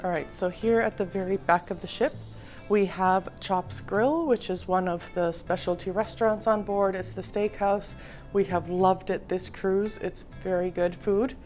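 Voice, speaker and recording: Vlogger, woman, husky sore-throat voice, easygoing tour-guide delivery, faint music under. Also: mid-range voice, a little slow. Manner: relaxed, explanatory, frequent ums. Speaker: cruise vlogger giving a ship tour. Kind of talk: casual walking tour narration. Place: indoors, moving through a cruise ship.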